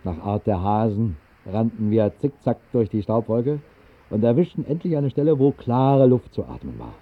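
An actor narrating a radio drama as a wistful storyteller, measured and deadpan in a literary style. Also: male voice, fairly low pitch. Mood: deadpan storytelling. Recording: muffled band-limited old radio recording.

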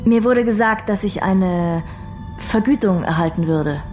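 A woman's voice: medium pitch, a little slow, a little mellow, soft background music, natural voice. An actress playing a wary, educated young woman in a crime radio drama, terse and firm under threat. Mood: polite, uneasy.

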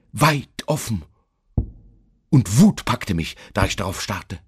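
An actor playing an obsessive, mad murderer who insists he is sane, confessing in a dramatic radio monologue with hushed intensity and deliberate emphasis. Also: deep voice, husky, full character voice. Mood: intense, furious.

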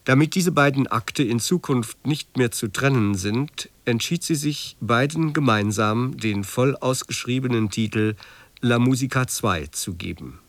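A man reading a scripted broadcast announcement as a radio announcer, formal and measured. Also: medium pitch, lively intonation, no echo. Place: dry broadcast studio.

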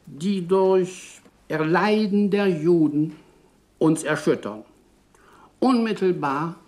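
A woman giving a formal prepared address in a solemn, deliberate manner. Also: low-pitched, darkish tone.

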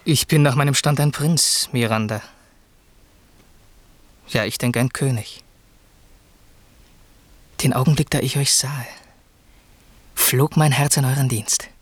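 Radio drama casting: young prince, adoring and servant-humble, ornate verse delivery, husky mid-range voice. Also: male voice, mid-range voice, steady tone, slow pace, pauses often, no room sound. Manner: lofty, ornate declamation.

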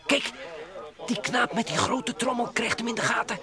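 A man's voice: very high-pitched, normal speed, crowd noise. A man playing a trusting, easygoing and bold adventurer in an old radio play, with a dismissive, reassuring way of talking. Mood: tense excitement.

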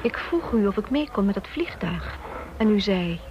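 An actress playing a composed, discreet secretary in a radio drama, formal, reserved and pointed, on a dull, noisy old recording. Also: female voice, medium pitch, lively intonation, normal speed, lightly acted. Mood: politely insistent. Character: composed, discreet female secretary, probing and stubborn.

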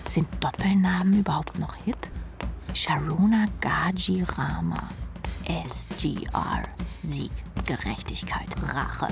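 A woman's voice: sits low, lively intonation, slow, gravelly, over music, full character voice. A woman acting a wry, reckless rock drummer who narrates her own story in a radio drama with sardonic, clipped asides. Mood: muttering, whispered. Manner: sardonic, clipped asides, often dropping to whisper.

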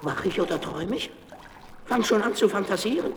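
An actor playing an old, weak, tender-hearted father in a children's radio play, speaking haltingly and with feeling. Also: sits high, expressive, roomy sound, in a large hall, a little hiss or hum. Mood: weary.

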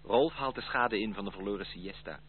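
An actor playing a courteous, ardent gentleman suitor in a Dutch radio drama, with a formal, gallant way of speaking. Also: on the low side, fairly quick, lightly acted. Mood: friendly. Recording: tinny, noisy old radio play recording.

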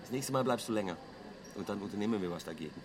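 A man's voice: fairly low pitch, lively intonation, racing, noisy background, natural voice. An actor playing an assertive, self-assured man with a probing, decisive manner in a naturalistic radio drama. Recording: loud background ambience.

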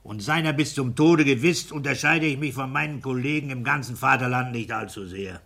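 Forceful, husky, smug petty official. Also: male voice, mid-range voice, brisk. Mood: brusque, assertive. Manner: blunt, self-satisfied, domineering. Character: smug, stubborn petty-bourgeois civil servant and husband. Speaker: actor in a radio play. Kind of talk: 1960s German radio play acting.

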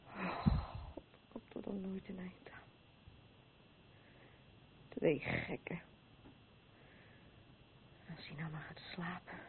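A woman's voice: on the low side, takes it slowly, long pauses, in a whisper. An actress in a radio play playing a worn-out, frayed woman who broods and repeats her phrases. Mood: weary, desperate. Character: worn-out, frayed woman burdened by an unstable man. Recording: thin phone-like old radio recording, noisy.

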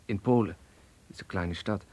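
Young zionist radical in a radio drama, earnest n lecturing, lowish rough voice, bit hesitant. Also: male voice, normal speed, a little mellow. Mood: grave, recollecting. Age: young adult. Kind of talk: Dutch radio play acting.

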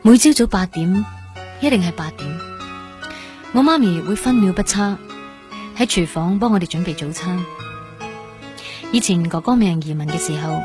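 A woman's voice: on the low side, expressive, normal speed, pauses often, a little mellow, faint music behind, natural voice. An actress playing a frail young woman patient in a radio drama, speaking Hong Kong Cantonese with an earnest, stubbornly independent manner. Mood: wistful, resolute. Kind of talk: radio drama acting and first-person narration.

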